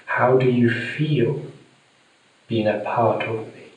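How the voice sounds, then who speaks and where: A man guiding a meditation in a soothing, deliberate manner with long pauses, speaking gently to the listener. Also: medium pitch. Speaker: meditation guide. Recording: very echoey room, distant mic.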